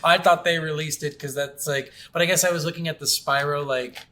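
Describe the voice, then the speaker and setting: A man with a slightly high-pitched voice talks casually as a podcast host. He reviews games in a blunt, conversational way, like someone talking with a friend. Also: steady tone, fairly quick, sharp tone, a little hiss or hum. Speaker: video game podcast host. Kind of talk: casual chat, game review.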